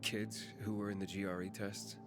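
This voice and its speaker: Game role: probing young survivor male actor, guarded, clipped questions, on the deep side. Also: flat delivery, moderate pace, lightly acted. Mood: probing, insistent. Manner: terse, questioning. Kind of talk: game cutscene acting. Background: music.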